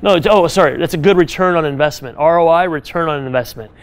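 Male speaker, live audience talk, energetic and direct, slightly higher register. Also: fast talker, a little hiss or hum. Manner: animated, direct, second-person advice with frequent "right?". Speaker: business speaker advising an audience.

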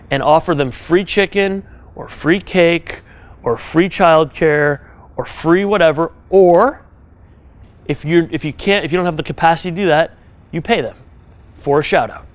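Animated man giving business advice live. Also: on the high side, moderate pace. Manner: animated, direct, second-person advice with frequent "right?". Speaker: business speaker advising an audience. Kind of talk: live talk to a room audience.

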